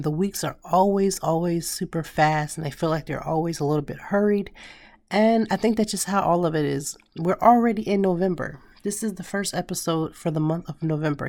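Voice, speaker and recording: A woman in her thirties hosting a casual solo podcast, hoarse and raspy from a cold, chatting and telling stories straight to her listeners. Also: sits low, a little mellow, no echo, clean studio sound. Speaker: podcast host.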